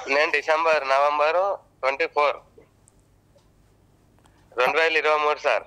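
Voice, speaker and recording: A man's voice: on the high side, steady tone, measured pace, pushed and bright, clean tone. A man with a Telangana accent making an insistent, aggrieved complaint as a farmer on a phone call. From Telangana, India. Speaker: farmer calling about a loan waiver. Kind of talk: phone complaint, casual conversation. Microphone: telephone.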